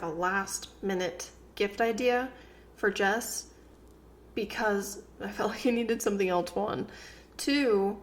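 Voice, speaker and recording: A woman's voice: medium pitch, a little hiss or hum. Congested young woman chatting on a podcast. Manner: rambling, many fillers and restarts. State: congested, a bit nasal. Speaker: co-host of a couple's channel. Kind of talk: casual podcast chat. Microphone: new desk microphones.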